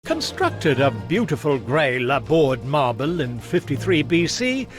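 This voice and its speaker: A man's voice: on the high side, wildly swooping pitch, unhurried, soft background music. A man playing a pompous, long-winded narrator in a cartoon game, delivering florid, theatrical narration. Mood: grandiose. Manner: pompous, verbose. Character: pompous, florid male storyteller and tour guide.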